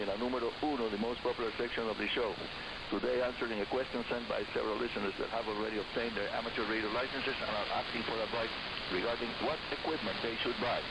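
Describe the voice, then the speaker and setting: An older man hosts a scripted hobby radio program over shortwave, emphatically addressing listeners as friends. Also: mid-range voice. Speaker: radio show host. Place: radio studio, heard over shortwave receiver. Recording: hissy, noisy shortwave radio broadcast reception. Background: loud hiss and static.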